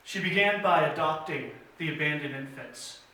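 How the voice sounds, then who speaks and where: A man telling a missionary's life story at a church story time, in an earnest, measured way with pauses and small fillers. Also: fairly high pitch, pushed and bright, slight background noise. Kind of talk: children's story time retelling. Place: church sanctuary. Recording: very echoey church hall from a distance.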